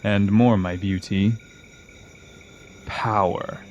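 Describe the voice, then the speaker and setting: Man playing a deep-voiced, scheming exiled king. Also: steady tone, darkish tone, highs cut off, a little hiss or hum. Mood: seductive, coaxing. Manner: slow, grandiose, coaxing. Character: scheming exiled old king, grandiose smooth tempter. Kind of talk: fantasy audio drama acting.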